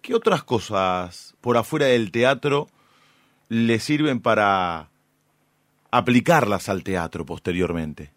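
A man with an Argentine accent, asking interview questions in measured phrases as an attentive host. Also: fairly low pitch, expressive, fairly bright tone, dry recording.

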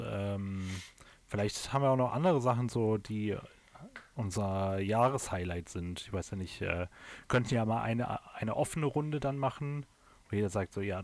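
Halting low-voiced male podcast host. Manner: hesitant, many fillers. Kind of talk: casual chat.

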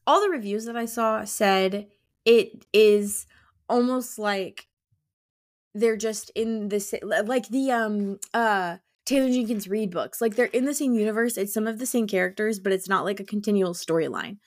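A woman's voice: mid-range voice, normal speed. A woman co-hosting a casual book chat, talking informally and effusively with lots of "like". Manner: informal and effusive, heavy use of "like". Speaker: podcast co-host; school teacher.

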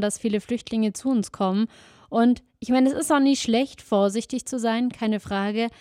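A woman's voice: medium pitch, darkish tone, no echo. Young female podcast host, German accent, informal and conversational, mid-range voice, dry recording. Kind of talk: conversational podcast introduction and interview.

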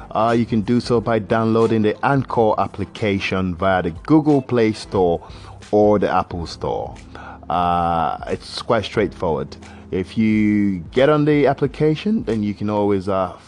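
A man talks through his solo podcast in a fairly low voice. He rambles and restarts, padding his thoughts with uhs. His delivery jumps from animated bursts to quiet muttering. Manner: swings between animated outbursts and low mutters. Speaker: podcast host. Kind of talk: casual solo podcast monologue.

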